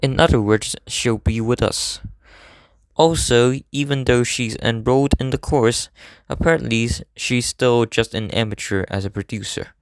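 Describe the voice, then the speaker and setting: A man acts as a serious teenage idol student in an anime fan dub. His voice is smooth and clear in a dry, close recording. He explains things earnestly, in formal, measured sentences. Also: medium pitch, no room sound, lightly acted. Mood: serious, explanatory. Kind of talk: scripted anime game fan dub acting.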